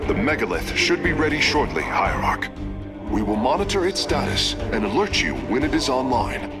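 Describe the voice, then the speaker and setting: Lively, formal alien engineer. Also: male voice, a little high. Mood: attentive, deferential. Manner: precise, formal, technical reporting. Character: polite, studious male alien engineer, eager and deferential. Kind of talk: dramatic video game character acting. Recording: voice under loud game music.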